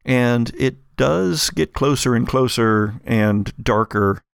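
A man narrating an atmospheric tabletop horror game as game master, in a measured, deliberate way. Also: medium pitch, vocal fry, clean tone, dry recording, studio quality.

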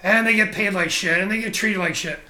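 A man with a notably high, bright voice tells his career story in a loose, animated interview. He is candid and swears casually, often restarting his sentences. The room adds a clear echo around him. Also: fairly quick. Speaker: interview guest, photographer.

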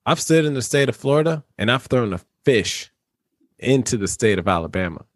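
A man's voice: medium pitch, expressive, a little fast, no room sound, clean studio sound. A man co-hosting a podcast, talking in an easygoing, musing way. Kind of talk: casual chat.

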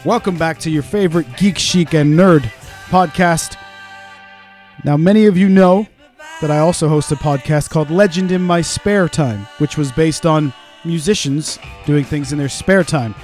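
A fairly high-voiced man hosts his podcast in a studio with a chatty, playful delivery. Faint music plays beneath his talk. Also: moderate pace, darkish tone. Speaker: podcast host. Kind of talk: casual podcast interview.

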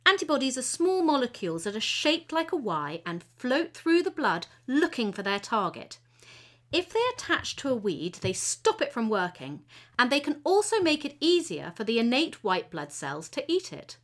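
A woman's voice: on the high side, big pitch swings, normal speed. A woman playing a friendly, proud T cell gardener who explains things patiently in a children's educational audio story. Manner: explanatory, teacherly, encouraging.

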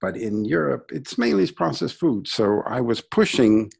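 A middle-aged or older American man from Brooklyn telling his own story in a conversational, reflective interview style with hesitant pauses. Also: medium pitch, big pitch swings, creaky, a little mellow, breathy edge. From Brooklyn, New York, United States. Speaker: interview guest, sustainability entrepreneur.

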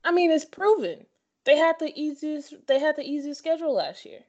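A woman's voice: high voice, lively intonation, brisk, a little mellow, lo-fi sound. A woman co-host in casual sports chat, opinionated and repeating herself for emphasis.

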